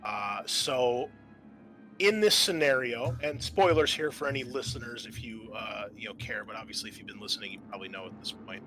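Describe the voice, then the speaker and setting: A man's voice: mid-range voice, brisk, over music. A man hosting as game master, narrating a tabletop roleplaying game in a casual, often profane manner.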